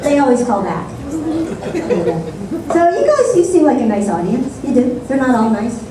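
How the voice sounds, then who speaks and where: A woman doing a stand-up comedy set on a club stage, with deadpan, sarcastic asides. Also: fairly high pitch, unhurried, over a noisy crowd. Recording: echoey club sound from a distant mic.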